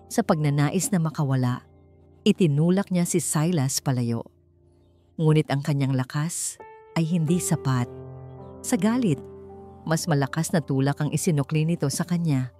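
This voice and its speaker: A Filipino woman tells a romance story in a slightly low voice. Her phrasing is dramatic and deliberate, as scripted narration, with music playing clearly behind her. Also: mellow.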